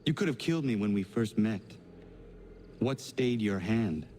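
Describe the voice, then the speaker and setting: A stern young male assassin, low and mellow in tone. He talks in blunt, formal, earnest sentences like a game hero, with faint ambience behind. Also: expressive. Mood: challenging. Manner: formal, blunt, measured wording. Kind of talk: video game cutscene acting. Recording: faint background ambient noise.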